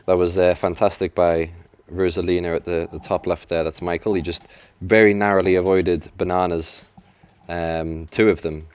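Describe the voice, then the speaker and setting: Male esports commentator, very deep, dry sound, explains plays in a chatty way. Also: little pitch movement, normal speed, darkish tone, clean tone, dry recording. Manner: chatty, explanatory, some restarts. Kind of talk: live game commentary. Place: event venue.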